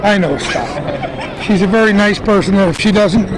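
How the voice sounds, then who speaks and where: A man's voice: high-pitched, measured pace, a crowd in the background, poor audio. Older guy interviewing folks at a crowded outdoor event, chatty and playful. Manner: chatty, teasing, enthusiastic. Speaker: host.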